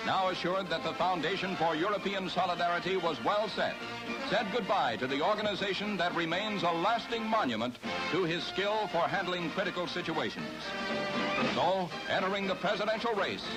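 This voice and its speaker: High-pitched grandiose American newsreel narrator, male. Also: expressive, moderate pace, over music, poor audio. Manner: grandiose, declamatory. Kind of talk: 1950s newsreel scripted narration.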